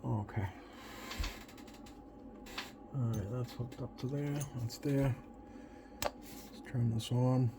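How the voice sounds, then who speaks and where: A man's voice: mid-range voice, fairly even intonation, takes it slowly, long pauses. A man thinking aloud in casual hands-on commentary, muttering to himself as he tinkers. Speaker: radio hobbyist doing bench tests. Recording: noisy, with clear background noise.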